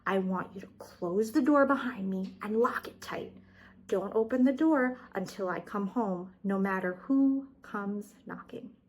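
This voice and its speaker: A woman voicing a caring mother rabbit who calmly and firmly instructs her babies in a storybook tale. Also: medium pitch, unhurried, husky, muffled recording. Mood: gentle instructing. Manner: calm, instructing her children.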